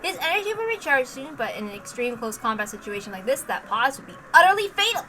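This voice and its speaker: A woman reading a story aloud as a narrator, with an animated, emphatic dramatic delivery. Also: sits high, fast, background noise. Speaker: reader narrating a visual novel.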